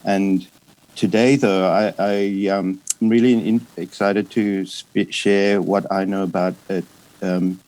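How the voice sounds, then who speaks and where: A man in his fifties with an Australian accent gives an academic lecture in a hesitant, halting way, often pausing and breaking off words. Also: low-pitched, a little mellow, rough recording. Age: 50s. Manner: hesitant, halting delivery with frequent pauses and broken-off words. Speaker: psychiatrist and addiction specialist giving a lecture.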